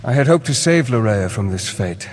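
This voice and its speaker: A man playing a solemn, regretful mystic guide in a fantasy video game, speaking in a grave, measured way. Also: mid-range voice, expressive, slight background noise, close to an everyday voice. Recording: long hall reverb on voice.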